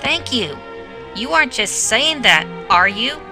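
Woman acting little pumpkin boy, childlike, high, piercingly bright, earnest, hesitant, children's show. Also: sing-song, a little slow, soft background music, exaggerated voice. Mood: unsure, earnest. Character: small, shy, innocent young male talking pumpkin.

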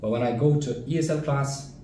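A man's voice: medium pitch, fairly even intonation, fairly quick, mellow. A young man from Afghanistan, an ESL student, answering interview questions earnestly and openly, sometimes searching for words, seated in a classroom. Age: young adult. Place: classroom with chalkboard, seated at a desk. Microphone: camera at a distance. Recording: roomy, distant, faint hiss.

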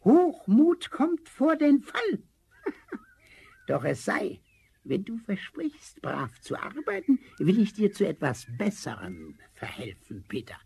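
A man playing a kindly, scolding little old forest spirit with a thin, delicate, raspy voice in a vintage fairy-tale radio play. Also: high voice, huge pitch swings, slow, a little mellow, full character voice. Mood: cautioning, benevolent. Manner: chuckling, chiding, grandfatherly.

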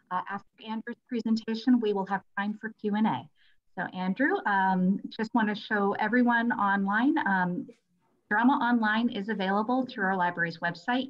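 A woman hosting an online library webinar, giving informal introductions and instructions over a video call. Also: medium pitch. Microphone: video call microphone. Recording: dull, lo-fi video call audio.

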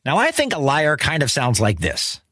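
A man's voice: medium pitch, wildly swooping pitch, moderate pace. A man telling a scripted children's story as host, in a wry, conversational manner with dramatic emphasis. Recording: music behind the voice. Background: music.

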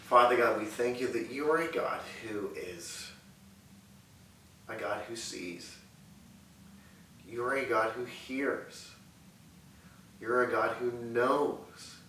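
A man in his thirties preaching and praying earnestly from a sofa in a living room, measured and repetitive in his phrasing. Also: medium pitch, sharp tone, distant mic. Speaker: pastor. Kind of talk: sermon and prayer. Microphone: far camera mic. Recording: echoey room audio with faint hiss.

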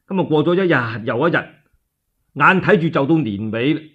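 A man's voice: fairly high pitch, animated, a little fast, a bit bright, breathy edge, lo-fi sound. A man with a Cantonese accent narrating a story dramatically as a solo storyteller.

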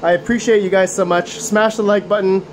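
A man with a slightly raised pitch talks casually to his viewers in an upbeat, chatty way. He is losing his voice as he goes. A faint hiss of noise sits under him. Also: steady tone, fairly quick. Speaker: mining channel host. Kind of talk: casual hands-on video commentary. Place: condo room with mining rigs.

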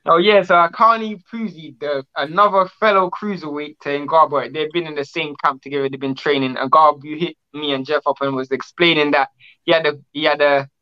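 A man's voice: fairly high pitch, steady tone, fairly quick, clean tone, dull audio. A man co-hosting a boxing interview over a video call, chatty and prone to restarts. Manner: chatty, talks in restarts and long lists. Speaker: co-host.

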